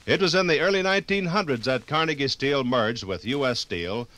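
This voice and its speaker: A man narrating a scripted 1950s radio documentary in a formal, dramatic, measured way. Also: mid-range voice, expressive, a bit bright, no echo.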